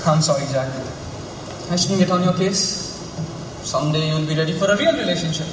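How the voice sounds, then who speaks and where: An actor in a stage play playing a jealous, reproachful college teacher with a dry manner. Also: male voice, fairly high pitch, brisk, close to an everyday voice. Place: theatre stage, recorded from far off. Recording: very echoey hall, distant mic, noisy.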